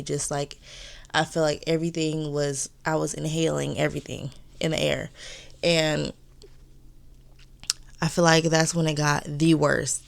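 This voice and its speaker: A woman's voice: deep voice, creaky. A young woman vlogging in a casual, chatty way, sounding congested and stuffy, rambling and repeating herself. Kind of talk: casual vlog chat.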